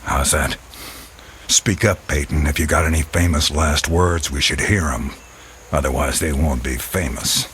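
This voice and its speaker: Sneering, hard-edged soldier. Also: male voice, a bass voice, fairly even intonation, unhurried, a little hiss or hum. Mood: mocking. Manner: mocking, hard, forceful. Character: callous, sneering male soldier, a taunting executioner. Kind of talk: old-time radio drama acting.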